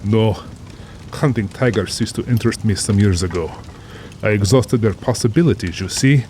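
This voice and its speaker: A man's voice: on the low side, normal speed, mellow, a little hiss or hum, natural voice. A man playing a cultured, proud general and big-game hunter in an audio drama, speaking formally and boastfully with polished self-assurance. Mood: proud, intense. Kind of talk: full-cast audio drama acting.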